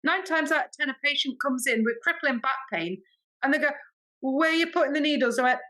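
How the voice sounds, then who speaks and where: High-pitched, bright-voiced chatty English woman. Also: fast talker. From Britain. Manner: chatty, expressive, acts out quoted dialogue. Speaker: acupuncturist podcast guest. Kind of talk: animated storytelling in casual interview.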